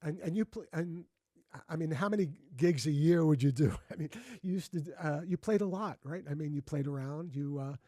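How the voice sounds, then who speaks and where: A man's voice: fairly high pitch, brisk, dark tone, no echo, studio quality. A man in his sixties hosting an interview, reminiscing effusively and often restarting his sentences. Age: 60s. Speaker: host. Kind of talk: casual interview conversation.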